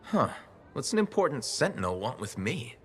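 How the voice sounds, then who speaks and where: Man playing easygoing, lively game hero, dryly sarcastic. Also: medium pitch, normal speed, slight background noise, natural voice. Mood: dry, wry. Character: young-adult male freelance mercenary hero, easygoing, dryly sarcastic. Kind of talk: video game dialogue acting.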